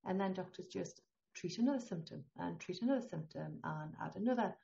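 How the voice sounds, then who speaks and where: A middle-aged to older woman with a northeast English accent, a holistic healthcare guest talking in a chatty, animated way and laughing often. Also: on the low side, a little fast, gravelly. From northeast England. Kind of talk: conversational interview. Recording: dull lo-fi sound.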